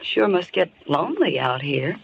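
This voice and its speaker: A woman's voice: low-pitched, big pitch swings, moderate pace, vocal fry, full character voice. An actress playing a spunky, wary young woman who talks tough and slangy though frightened, in an old-time radio horror play. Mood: wary, flippant. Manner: slangy, plucky, indignant. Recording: muffled, noisy old-time radio recording.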